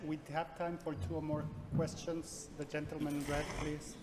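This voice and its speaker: A man moderating the question session, brisk and polite as he calls on people. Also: a little high, little pitch movement. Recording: echoey hall with audience noise.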